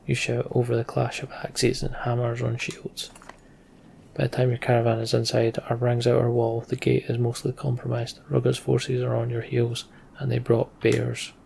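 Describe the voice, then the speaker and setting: A man's voice: mid-range voice, monotone, moderate pace, creaky, darkish tone, a little hiss or hum. A man giving casual, muttered gameplay commentary and reading story text aloud, as a let's play host.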